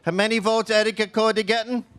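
A playful mock vote announcer with a put-on Swedish accent, as a man does the voice. He announces with jokey, slightly raised pitch, hamming up the formal tone. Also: level tone, fast talker, fairly bright tone.

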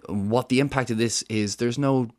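A man's voice: medium pitch, fairly even intonation, moderate pace. An Irish man explaining his subject earnestly in an interview, with frequent fillers and restarts. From Ireland. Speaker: guest, woodland charity founder. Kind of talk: interview conversation.

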